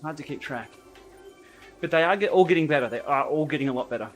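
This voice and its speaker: A man chats loosely while riding an indoor trainer, his voice sitting a little high for a man. He reads out viewer comments and answers them in an easygoing way, with faint music running underneath. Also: lively intonation, quick pace, fairly bright tone. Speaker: livestream host. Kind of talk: casual live chat while riding.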